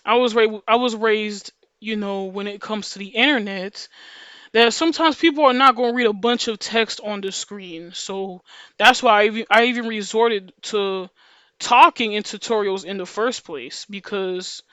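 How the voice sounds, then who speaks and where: Woman with an unusually deep, smooth voice. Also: normal speed, fairly bright tone, no echo. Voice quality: voice deeper than usual for a woman. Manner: rambling, slangy, repeats and restarts phrases. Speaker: video creator. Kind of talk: casual monologue to viewers.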